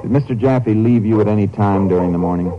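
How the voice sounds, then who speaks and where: Stern lawman acted by a man, deep, matter-of-fact, clipped, dull old radio recording. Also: fast talker, crowd noise, natural voice. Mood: businesslike. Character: stern, methodical Texas Ranger lawman. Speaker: lead investigator and narrator. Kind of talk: 1950s radio drama acting.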